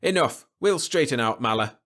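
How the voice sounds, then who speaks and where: A man's voice: medium pitch, lively intonation, moderate pace, pitched above the performer's own voice, close to an everyday voice. Impatient male space pilot voiced by a man, blunt and tense, science fiction audiobook. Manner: curt, blunt.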